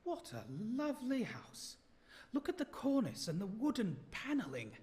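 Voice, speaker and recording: A man's voice: sits high, wildly swooping pitch, moderate pace, a little mellow. An actor playing a polite, earnest young male student in a radio play, with a proper, old-fashioned way of talking. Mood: curious, excited.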